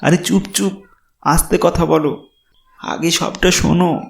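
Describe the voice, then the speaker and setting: A man's voice: a little high, steady tone, a little slow, gravelly, heavy background noise, pitched above the performer's own voice. A man voicing a kind-hearted, remorseful poor villager who pleads earnestly in a cartoon story. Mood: hushed, urging. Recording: roomy echo, music behind.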